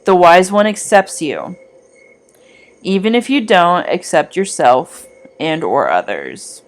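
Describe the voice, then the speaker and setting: A woman reading a scripted guided meditation in a measured, gently instructing manner. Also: lowish voice, big pitch swings.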